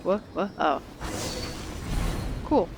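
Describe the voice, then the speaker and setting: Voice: medium pitch, animated, very slow. A video game streamer giving casual gameplay commentary in short muttered exclamations, with game music behind.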